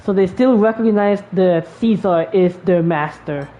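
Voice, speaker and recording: A woman's voice: lowish voice, measured pace, mellow, dull audio, a little hiss or hum. A woman giving casual live commentary on a game she plays, muttering asides and swearing. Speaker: gamer reacting to a game.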